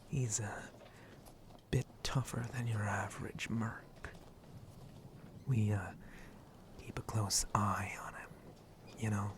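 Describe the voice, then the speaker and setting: A man playing a flirty, roguish shark-monster pirate in an ASMR roleplay, breathy and often whispering, with a teasing, rustic pirate way of talking. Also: fairly low pitch. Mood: instructive, wary. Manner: rustic pirate dialect, hesitant drawl, teasing.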